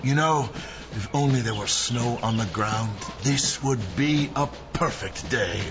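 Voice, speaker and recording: An actor playing a proud, kindly old Santa Claus in an audio drama, speaking in a courtly, emphatic, theatrical way. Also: male voice, medium pitch, lively intonation, a little slow, muffled recording, background noise, lightly acted. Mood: cheerful. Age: older. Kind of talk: full-cast audio drama acting.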